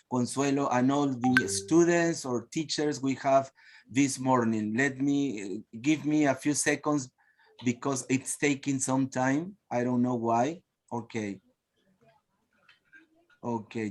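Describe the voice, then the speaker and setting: Host, peruvian accent, man on a video call, chatty, mid pitch. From Peru. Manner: chatty, stalls while sharing screen. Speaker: host of online teachers' meeting. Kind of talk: casual video-call hosting.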